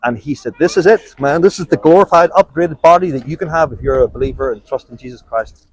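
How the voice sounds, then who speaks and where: A man's voice: fairly high pitch, quick pace, dry recording, low-quality recording. A man preaching on the street, earnest and persuasive, quoting scripture and joking with the people he stops. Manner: earnest, persuasive, quotes scripture, jokes with passers-by. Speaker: street evangelist. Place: outdoors on a street.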